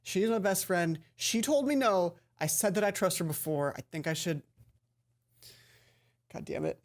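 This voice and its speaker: A woman hosting a let's play, reading a visual novel aloud and commenting casually, expressive, stumbling now and then and swearing. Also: on the low side, normal speed. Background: game music.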